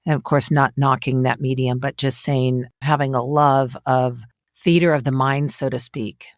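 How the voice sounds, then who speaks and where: A woman's voice: a bass voice, steady tone, normal speed, no room sound. Conversational woman podcast host, dry sound. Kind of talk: casual podcast interview.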